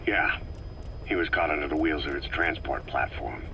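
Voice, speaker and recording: Very deep, terse soldier. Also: male voice, moderate pace, creaky, bright, forceful tone, heavily acted. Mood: stoic recall. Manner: terse, clipped, understated. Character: terse, stoic, battle-hardened male soldier. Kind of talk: scripted video game dialogue. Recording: faintly noisy cassette tape.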